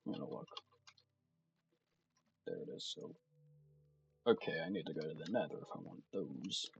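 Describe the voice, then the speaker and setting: A man's voice: a bass voice, lively intonation, moderate pace, vocal fry, muffled recording, faint music behind. A man giving casual commentary while playing a game, thinking aloud as he goes. His voice is starting to tire. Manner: thinks aloud, meandering and self-talking. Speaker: let's play gamer.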